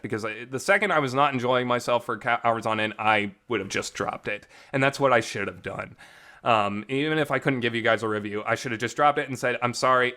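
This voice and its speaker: A man's voice: medium pitch, bright, forceful tone. A man giving a casual, unscripted review in a blunt, rambling way, repeating words for emphasis and swearing. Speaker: reviewer, vlog host. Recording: reverberant home recording.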